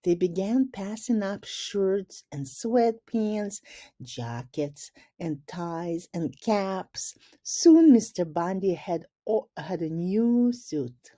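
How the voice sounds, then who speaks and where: A woman reading a picture book aloud like a teacher, in a deliberate, explanatory way. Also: medium pitch, animated, warm tone, no room sound, studio quality. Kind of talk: storybook read-aloud with brief explanations.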